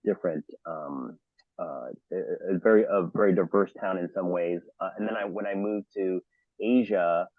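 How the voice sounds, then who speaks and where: A deep-voiced man talks on a panel in a halting way, full of ums and repeated words. His voice comes through dry and narrow, like a phone call. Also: dry recording. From Los Angeles area, United States. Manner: halting, many fillers and restarts. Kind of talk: panel discussion. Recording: thin phone-like audio.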